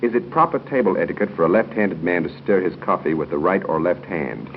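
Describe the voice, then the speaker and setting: A man's voice: low voice, expressive, brisk. An American man hosting a live 1930s radio quiz, ad-libbing and joking genially with contestants. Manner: genial and joking, chuckles between questions. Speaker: quiz show host. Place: hotel room at a club luncheon. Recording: muffled, noisy 1930s radio broadcast recording.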